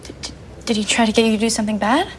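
An actress playing an earnest, apologetic teenage girl who talks quickly and rambles a little. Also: fairly high pitch, fairly even intonation, a little hiss or hum, close to an everyday voice. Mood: urgent. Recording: dialogue over series music.

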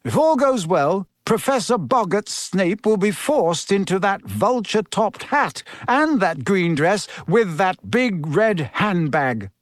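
A man voicing a kindly male schoolteacher in an audiobook, patient, cheerful and gently amused as he instructs. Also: sits high, sing-song, slow, hardly any pauses, no room sound, clean studio sound, voice pushed up, full character voice. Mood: amused, instructing. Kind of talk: audiobook character voice.

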